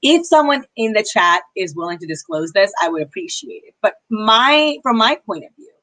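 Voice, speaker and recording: A young woman giving casual, opinionated commentary on a livestream, breaking off to answer her chat with quick apologies. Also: medium pitch, big pitch swings, fairly bright tone, poor audio. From Pakistani heritage. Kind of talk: casual live-stream commentary reading chat.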